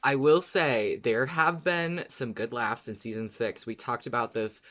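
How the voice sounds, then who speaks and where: A podcast host chatting casually and enthusiastically about a favorite show, quick to laugh. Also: mid-range voice, a bit bright. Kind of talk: casual fan podcast discussion.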